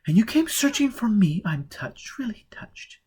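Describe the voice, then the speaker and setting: A man putting on a rapt, mocking highborn lady. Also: very animated, normal speed, a little mellow, heavily acted. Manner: high breathy whispers, dreamy and disdainful.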